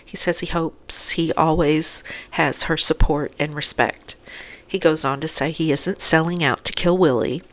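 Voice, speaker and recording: A woman's voice: low-pitched, fairly even intonation, a little slow. A woman narrating a scripted episode recap as host, adding chatty asides to listeners.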